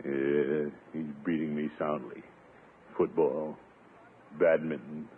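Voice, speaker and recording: An actor playing a blustering, jealous middle-aged bachelor in a 1950s radio sitcom, pompous and grumbling with theatrical exasperation. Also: male voice, extremely low pitch, little pitch movement, slow pace. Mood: sulky, defeated. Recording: tinny, noisy 1950s radio recording.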